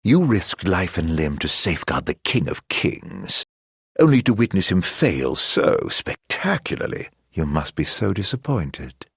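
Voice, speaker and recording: An actor playing a mocking, theatrical immortal villain in a video game, drawling mock-polite taunts in a husky, gravelly voice. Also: male voice, deep voice, sing-song, a little slow, no room sound, studio quality, heavily acted. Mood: mocking, taunting.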